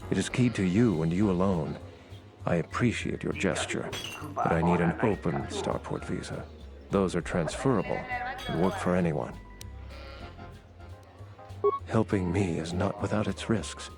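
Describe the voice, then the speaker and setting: Deep, gravelly, grave statesman. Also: music in the background. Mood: guarded, polite. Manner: measured, formal, grave. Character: earnest, guarded political insider fearing civil war. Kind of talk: video game character dialogue.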